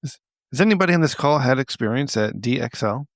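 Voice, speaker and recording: A man's voice: medium pitch, expressive, fast, vocal fry, dry recording. Crude middle-aged podcast guy, dry close sound. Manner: crude, profane. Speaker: podcast co-host. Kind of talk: casual comedic banter.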